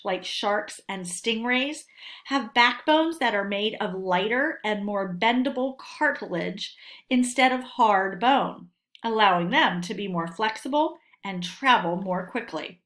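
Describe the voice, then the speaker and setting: A woman teacher reading a lesson aloud for children in a deliberate, instructional way, posing questions and answering them. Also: fairly high pitch, expressive, a bit bright.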